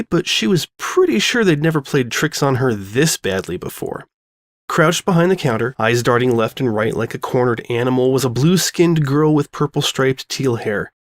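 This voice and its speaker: A man's voice: medium pitch, no echo. Man narrating a story, dry close recording. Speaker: narrator reading fan fiction.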